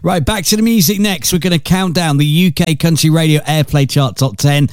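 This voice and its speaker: A man with a slightly croaky voice, chatting casually as a radio presenter. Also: on the high side, a little fast, a little mellow, no echo, studio quality. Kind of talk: casual radio link chat. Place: dry radio studio.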